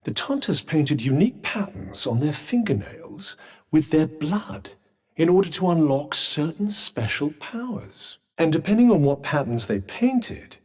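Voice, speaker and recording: A man's voice: a little high, breathy edge, in a large hall, heavily acted. A British man voicing a witty, haughty magical talking vambrace in a video game, with pompous, droll and sardonic delivery. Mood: musing, explaining. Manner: pompous, droll, erudite wording. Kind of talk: video game character dialogue.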